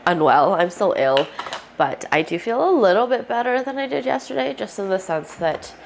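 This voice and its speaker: A woman's voice: mid-range voice, expressive, normal speed, gravelly, a little hiss or hum. A young woman vlogging in a casual, rambling way, with a stuffy, congested voice from a cold. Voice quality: stuffy, nasal. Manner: chatty, rambling with many fillers. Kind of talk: casual vlog chat.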